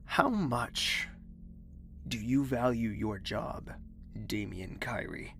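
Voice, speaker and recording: An actor playing a bitter, menacing young prince in an audio drama, speaking in a formal, commanding, deliberate way. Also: male voice, mid-range voice, expressive, gravelly, a bit bright, a little hiss or hum, heavily acted. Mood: quietly menacing. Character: bitter young male prince, self-declared villain, imperious and menacing.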